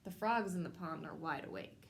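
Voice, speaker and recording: A woman hosting a children's storytime, reading a picture book aloud in simple, measured phrases addressed to young children. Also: deep voice, animated, a little hiss or hum. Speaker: children's storytime host at a public library.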